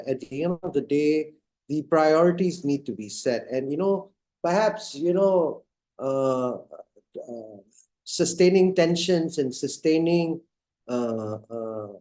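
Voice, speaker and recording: A man's voice: on the high side, dark tone. A man from Singapore moderating an online panel discussion, talking in a hesitant way with many fillers and restarts.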